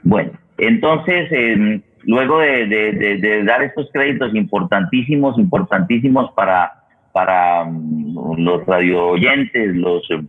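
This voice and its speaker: A Colombian man hosting a radio programme in a semi-formal, wordy way, deliberate and halting, often repeating himself. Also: on the low side, husky. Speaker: radio host and theatre director. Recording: tinny phone-like line.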